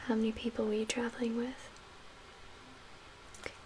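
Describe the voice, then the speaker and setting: A woman speaking in a hushed, breathy whisper with measured pauses, performing an ASMR roleplay as a calm, guarded survivor-camp medical official. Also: a little high. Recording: audible background hiss and ambience.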